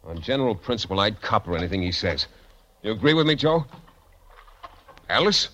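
An actor playing a tough, suspicious sailor with a blunt, slangy, hard-boiled manner in an old-time radio drama, on a noisy recording. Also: male voice, on the low side, big pitch swings, brisk, a bit bright, muffled recording. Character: tough, suspicious working-class sailor taking command.

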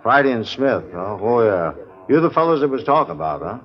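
A man's voice: medium pitch, very animated, a little fast, close to an everyday voice. An American man playing a talkative, slightly testy supermarket manager in a 1950s radio drama, rambling in a folksy, naturalistic way. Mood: chatty. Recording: muffled vintage radio recording.

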